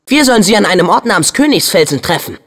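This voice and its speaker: A man playing an upbeat, brave, earnest teenage boy hero in a video game dub, with an eager, expressive, youthful delivery. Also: high-pitched, quick pace, big-room sound, full character voice. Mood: friendly.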